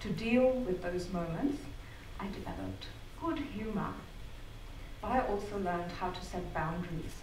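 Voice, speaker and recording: A middle-aged woman from Zambia giving a prepared talk in a theatre, measured and articulate with wry asides. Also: lowish voice, expressive, darkish tone, slight background noise. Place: theatre hall. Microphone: venue sound picked up from the back of the hall. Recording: far-off roomy hall capture.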